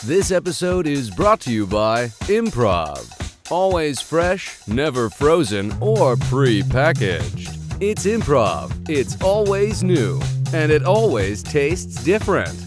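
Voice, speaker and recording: A man playing an overblown, earnest commercial announcer, selling slowly and emphatically in a parody sponsor spot. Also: on the high side, very animated, music in the background, voice pushed up. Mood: salesy. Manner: slow, emphatic salesman delivery. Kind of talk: mock advertisement.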